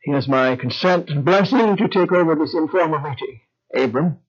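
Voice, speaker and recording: A man's voice: on the high side, normal speed, husky. An actor playing a formal, dignified elderly male patriarch who addresses his family in an old-time radio drama. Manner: formal, hesitant starts. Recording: muffled lo-fi old recording.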